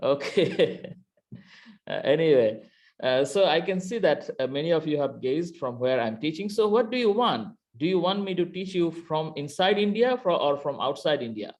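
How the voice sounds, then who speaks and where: A man's voice: fairly high pitch, expressive, normal speed, darkish tone. A man from Bangladesh with a Bangladeshi accent, a university lecturer teaching an online class over a computer mic, talking hesitantly with many fillers and restarts. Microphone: computer mic on video call.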